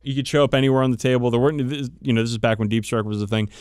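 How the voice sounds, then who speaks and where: A man's voice: mid-range voice, steady tone, very fast, darkish tone, smooth, no room sound, studio quality. A man hosting a podcast, casually telling tabletop game lore with sweary enthusiasm. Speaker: podcast host. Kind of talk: casual chat about tabletop wargame lore.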